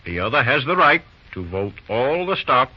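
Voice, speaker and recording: A man's voice: medium pitch, very animated, normal speed, breathy edge. An actor playing a pompous, stubborn, wealthy father and railroad president in an old-time radio drama, with a hemming, stammering, self-important delivery. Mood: smug, resolute. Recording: dull, noisy old radio recording.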